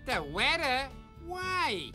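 A woman's voice: high-pitched, wildly swooping pitch, extremely slow, bright, forceful tone, faint music behind, exaggerated voice. A woman voicing a small, aging cartoon scorpion who talks in slangy, sardonic quips. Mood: wry.

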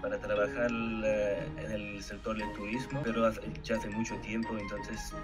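A man's voice: on the low side, flat delivery, moderate pace. A young man from Normandy, France, chatting thoughtfully on a video call and laughing easily. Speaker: guest polyglot. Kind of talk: casual video-call chat. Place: small indoor room. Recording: video call with loud music underneath.

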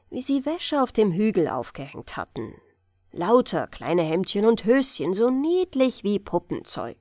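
Slow, gentle woman reading a bedtime fairy tale. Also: medium pitch, no echo, studio quality. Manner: calm, gentle, unhurried storytelling. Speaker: audiobook reader.

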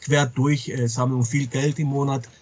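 A middle-aged man speaks as an interview guest in a casual, candid way. His voice is a little husky and sits at an average pitch. He often hesitates and rephrases as he goes. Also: level tone, moderate pace, a little mellow, dull audio. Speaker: comic publisher being interviewed. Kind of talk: casual interview talk about a trade.

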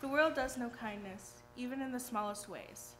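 A woman's voice: on the high side, normal speed, faint music behind. A young woman reading a rhyming poem aloud at a church lectern during a service. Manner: steady rhymed recitation. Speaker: youth reader. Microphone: lectern mic. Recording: echoey church room.

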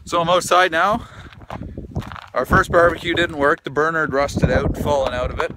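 A man's voice: on the high side, moderate pace, noisy background. A man in his thirties from Canada, talking easily to a phone held at arm's length while he shows viewers around a frozen lake. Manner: chatty, easygoing, relaxed. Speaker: vlogger. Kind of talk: casual vlog tour.

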